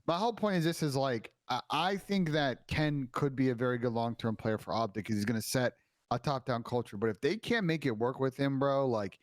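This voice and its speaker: A man's voice: medium pitch, fairly quick, dry recording, studio quality. A young man co-hosting an esports talk show, giving opinionated takes that he hedges with "I think". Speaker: esports analyst co-host. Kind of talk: casual esports chat.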